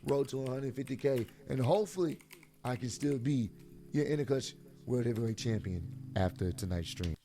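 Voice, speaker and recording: A man reviews a wrestling show in a loose, chatty way, calling out to his viewers. His voice is hoarse and a bit sore. He speaks at an ordinary middle pitch. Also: normal speed, warm tone, soft background music. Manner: chatty, addresses viewers directly. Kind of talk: casual video review.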